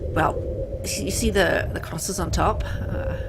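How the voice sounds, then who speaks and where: A woman's voice: fairly low pitch, slow, very noisy. A woman giving casual, playful commentary while playing a game and reading it aloud. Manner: playful, joking. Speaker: gamer, let's player.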